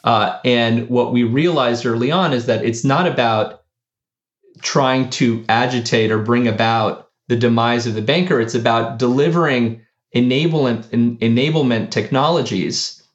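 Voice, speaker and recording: A man, a company founder, answering interview questions in a halting way with many fillers and restarts. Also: mid-range voice, roomy sound, muffled recording. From United States.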